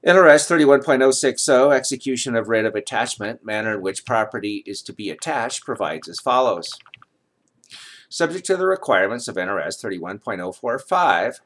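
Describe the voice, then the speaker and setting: A man in his sixties reading formal legal text aloud, enunciating numbers and citations deliberately. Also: mid-range voice, lively intonation, a bit bright. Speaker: reader of his own legal reference book. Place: home study lined with bookshelves, indoors.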